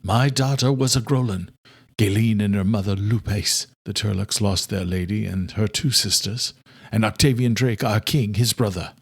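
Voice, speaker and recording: A grieving vampire duke, read by a male narrator speaks in a low voice. He is formal and pointedly accusing, in audiobook style. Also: moderate pace, husky. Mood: grave, accusing.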